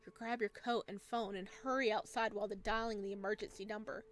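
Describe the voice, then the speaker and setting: A woman game streamer reading visual novel text aloud with casual, expressive commentary. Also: medium pitch, fast talker.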